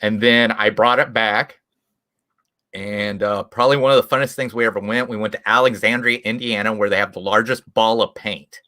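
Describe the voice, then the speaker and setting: A Midwestern American man chats on a live stream in a bright, forceful voice. He rambles through stories and restarts often. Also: lowish voice, steady tone, brisk. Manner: rambling, chatty storytelling with frequent restarts and chuckles. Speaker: guest YouTube creator. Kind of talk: casual chat on a live stream.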